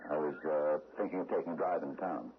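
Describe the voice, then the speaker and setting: A man's voice: very deep voice, fairly even intonation, fairly quick. An actor playing a timid, mild-mannered American man who stammers nervously and placates, in 1970s radio drama style. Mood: uneasy, placating. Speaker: actor in a radio mystery play. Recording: muffled, noisy old radio broadcast recording.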